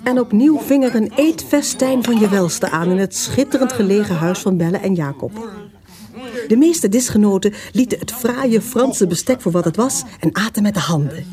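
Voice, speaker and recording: A woman's voice: fairly high pitch, darkish tone. A woman narrator reading scripted historical storytelling.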